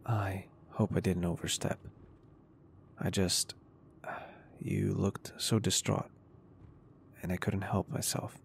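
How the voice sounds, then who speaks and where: A male performer plays a confident, protective businessman in an intimate ASMR roleplay. His voice is low with a gravelly fry, and he talks in a polite, reassuring and earnest way. There is noticeable background noise behind him. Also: flat delivery, slow pace, pauses often, exaggerated voice. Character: caring, flirty, confident male business owner, gently protective.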